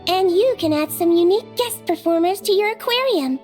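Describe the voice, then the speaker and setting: A woman voicing a cute, polite little head nurse with a measured, professional manner in a video game. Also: very high-pitched, expressive, darkish tone. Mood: composed, explaining. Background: music.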